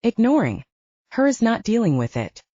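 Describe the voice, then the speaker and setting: A woman's voice: fairly high pitch, big pitch swings, moderate pace, dark tone, no echo, highs cut off, voice pushed up. A woman voicing a commanding, exasperated older brother, an alpha werewolf, with a stern, patronizing manner in an audiobook.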